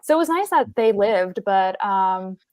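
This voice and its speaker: Chatty woman with a mid-pitched voice. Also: animated, measured pace. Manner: informal, chatty, frequent "like". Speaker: panel participant. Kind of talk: casual chat.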